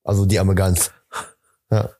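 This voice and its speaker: A man's voice: low voice, flat delivery, measured pace, dark tone. A man as a podcast guest in casual banter, putting in short, dryly teasing remarks. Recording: faint background noise.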